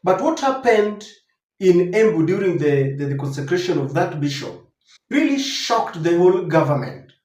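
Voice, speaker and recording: High-pitched Kenyan man, political commentary. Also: sing-song, moderate pace, a little mellow. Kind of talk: unscripted political commentary to viewers. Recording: roomy, echoing voice recording.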